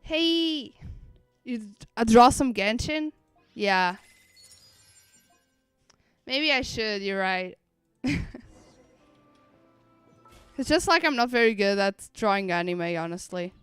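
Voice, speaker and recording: A woman's voice: on the high side, expressive, takes it slowly, clear, resonant tone, no echo. A woman streamer chatting casually and playfully to her live audience as she plays a game. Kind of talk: casual live-stream chat while gaming. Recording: dry close mic, faint music behind.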